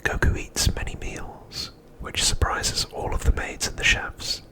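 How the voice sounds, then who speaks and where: Whispering man narrating softly for ASMR. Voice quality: unvoiced, breathy whisper. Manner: soft, unhurried, hushed storytelling. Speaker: ASMR host.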